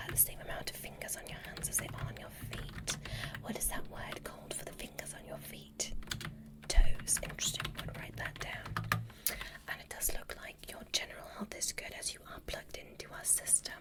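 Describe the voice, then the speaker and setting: A woman voicing a gentle, curious alien doctor in a breathy, soothing whisper for an ASMR medical roleplay. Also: moderate pace, close to an everyday voice. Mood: curious, clinical. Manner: soothing, softly instructing.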